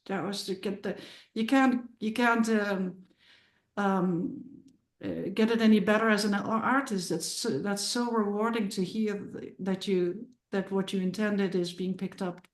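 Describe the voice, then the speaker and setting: A middle-aged woman from the Netherlands with a Dutch accent, talking about her film on a podcast, full of restarts and repeated words. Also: medium pitch. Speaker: filmmaker and musician guest. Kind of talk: casual podcast interview. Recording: roomy sounding.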